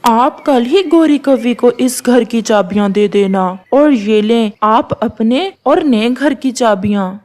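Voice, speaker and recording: A woman voicing a kind, childless male woodpecker handyman with a gentle, courteous manner in a cartoon. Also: on the high side, takes it slowly, few pauses. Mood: generous.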